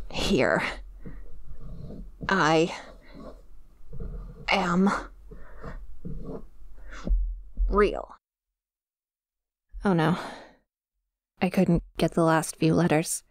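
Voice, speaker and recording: Woman acting a lonely female sleep demon, gravelly fry, soft hesitant ASMR delivery, very dry close-mic sound, yearning and vulnerable. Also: lowish voice, no echo. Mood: weary. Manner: hesitant, halting, tentative, confiding. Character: female sleep paralysis demon, possessive, scared, yearning to be kind. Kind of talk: ASMR roleplay, intimate monologue addressed to a sleeper. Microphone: close microphone, dry.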